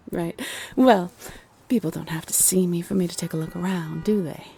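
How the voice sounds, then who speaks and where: Woman as a teasing, sultry love spirit, gravelly purr. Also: medium pitch, darkish tone, exaggerated voice. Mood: playful, coaxing. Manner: teasing, purring, drawn-out delivery. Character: teasing, sultry love spirit, unseen guide, playfully affectionate. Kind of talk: scripted roleplay audio drama.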